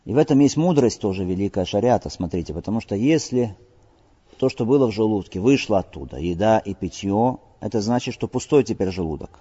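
A man's voice: fairly low pitch, expressive, a little fast, warm tone, slightly rough. A man giving an Islamic lesson as a teacher, explaining didactically with rhetorical questions and Arabic terms mixed into his Russian. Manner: didactic, asks rhetorical questions and answers them. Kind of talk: religious lesson, explaining hadith line by line. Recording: dull lo-fi audio in reverberant hall.

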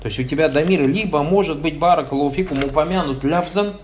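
Higher-voiced man teaching a grammar lesson. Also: fast talker, a little hiss or hum. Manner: asks the listeners short check questions as he goes; repeats blessing formulas between points. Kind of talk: explaining a grammar text, reading passages and translating.

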